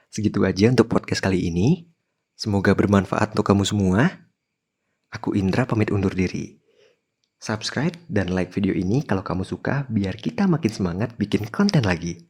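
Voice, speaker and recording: A man's voice: fairly low pitch, a little mellow, husky. A man presenting a scripted podcast in a chatty way, speaking straight to listeners. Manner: chatty, addresses listeners directly. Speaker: podcast host.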